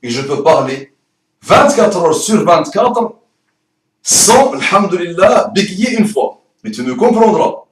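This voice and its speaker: Man with an Arabic accent, not a native French speaker, rolled R's, playful and emphatic teacher's pitch; high voice, echoey room, set back from the mic. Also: big pitch swings, a little slow, recorded from a distance, a little hiss or hum. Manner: playful, emphatic, rhetorical questions. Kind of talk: promotional course pitch.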